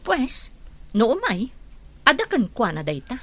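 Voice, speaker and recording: Actress as a lively, coaxing Ilocano aunt. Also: female voice, on the high side, measured pace, dry recording, full character voice. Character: well-off aunt, grown daughter gently pleading with her father. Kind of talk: Ilocano radio drama acting.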